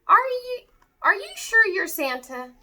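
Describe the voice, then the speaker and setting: A woman's voice: extremely high pitch, sing-song, unhurried, sharp tone, pitched above the performer's own voice, exaggerated voice. A woman voicing a blunt, skeptical six-year-old boy in a storybook, full of questions. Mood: doubtful.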